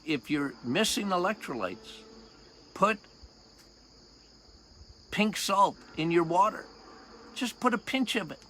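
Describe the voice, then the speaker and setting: An older man with a loud voice, a doctor hosting a health podcast outdoors, talking casually and emphatically, repeating key points and quizzing his listeners. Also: high voice, a little hiss or hum. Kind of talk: casual health talk monologue.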